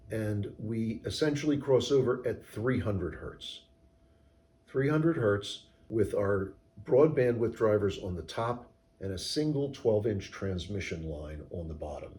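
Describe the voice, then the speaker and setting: A man giving measured, technical, explanatory answers in an interview as a company co-founder. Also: fairly low pitch, mellow. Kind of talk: interview answers about product design.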